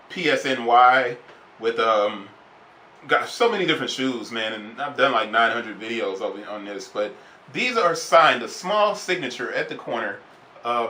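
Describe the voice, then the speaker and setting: A man's voice: mid-range voice, pushed and bright, slight background noise. Chatty man reviewing sneakers, echoey room. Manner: rambling, enthusiastic, repeats himself. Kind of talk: casual product review. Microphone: camera then phone.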